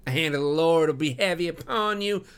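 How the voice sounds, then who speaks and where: A man's voice: medium pitch, steady tone, unhurried, fairly bright tone, clear, resonant tone, natural voice. A nasal, coarse young man full of pious self-satisfaction, put on by a male narrator. He is a smug storybook suitor who speaks in sanctimonious tones. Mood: threatening.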